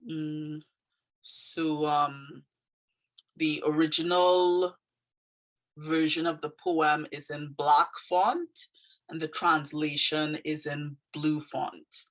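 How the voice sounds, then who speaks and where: A woman's voice: sits low, fairly even intonation, slow pace, poor audio. A woman from Trinidad with a Trinidadian accent and a slight Canadian tinge, a poet explaining her poem in a thoughtful, hesitant way with many fillers. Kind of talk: casual explanatory talk.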